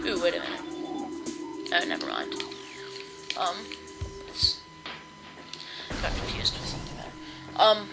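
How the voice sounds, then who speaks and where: A sixteen-year-old boy with a high-pitched voice, giving live gameplay commentary in a hesitant, rambling way with many ums and restarts. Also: wildly swooping pitch, a little slow, gravelly, pauses often. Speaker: let's play commentator. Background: video game music.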